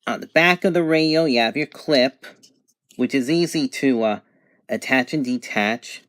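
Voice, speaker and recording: A man presents a hands-on product review in a mid-pitched voice. His delivery is halting and matter-of-fact, like someone explaining features as he finds them. The sound is dry, with little room to it. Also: fairly bright tone, dry recording. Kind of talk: unscripted product demonstration.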